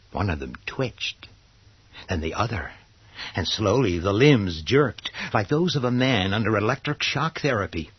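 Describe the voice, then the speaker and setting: A man narrating a horror radio play in a husky voice, theatrical, with deliberate dramatic pauses. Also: sits low, no room sound, muffled recording.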